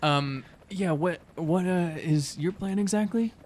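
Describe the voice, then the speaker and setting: A man playing a tabletop role-playing game with casual, joking, improvised banter in halting phrases. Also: high voice, a little mellow, a little hiss or hum.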